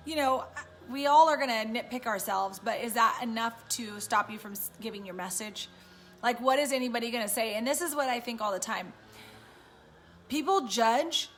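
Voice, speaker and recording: A woman with a raspy voice, chatting casually and encouragingly to viewers on a live stream from home. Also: fairly high pitch, fairly bright tone. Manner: chatty and encouraging, many fillers. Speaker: live-stream host and business coach.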